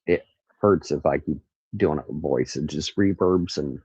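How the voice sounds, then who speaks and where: A man's voice: a bass voice, moderate pace, darkish tone, breathy edge, dry recording, muffled recording. A man with a nasal voice hosting a rambling, casual true crime livestream from an outdoor carport in the country. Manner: rambling, stops to look things up. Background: crickets and cicadas.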